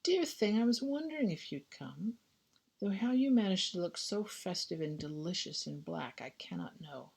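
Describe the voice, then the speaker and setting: A woman's voice: on the low side, expressive, moderate pace, creaky, a little mellow, slightly rough, exaggerated voice. A woman voicing a playful, effusive Victorian society lady in audiobook dialogue, with an arch, teasing manner.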